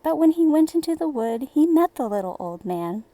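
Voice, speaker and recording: A woman reading a children's bedtime fairy tale in a deliberate, theatrical storybook manner. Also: sits high, very animated, dark tone, dry recording.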